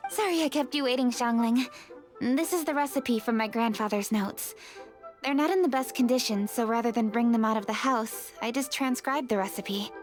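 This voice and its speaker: An actress plays a courteous young noblewoman in a fantasy game. Her voice is high and composed, with precise, businesslike phrasing. Soft music plays behind her. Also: moderate pace, lightly acted. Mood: composed, apologetic. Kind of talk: video game character acting.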